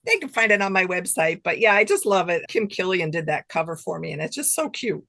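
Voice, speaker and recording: A woman's voice: fairly low pitch, sing-song, a little fast, gravelly, fairly bright tone. A middle-aged or older woman chatting casually as an interview guest, effusive and enthusiastic. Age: middle-aged or older, has grandchildren. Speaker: guest author.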